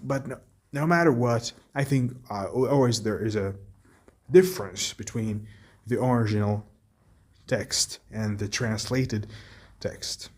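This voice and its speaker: A man whose first language is Arabic, talking in a casual, thoughtful monologue, halting often with restarts and fillers. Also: fairly low pitch. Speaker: vlogger.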